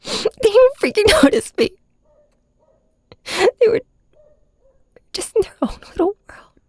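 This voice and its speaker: A woman playing a heartbroken young superhero in an intimate ASMR audio drama, confiding in a villain with halting, raw emotion in a breathy, whispery voice. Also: very high-pitched, big pitch swings, a little mellow, no echo, exaggerated voice. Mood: anguished, tearful. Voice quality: breathy, often dropping to a whisper. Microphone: close microphone, dry.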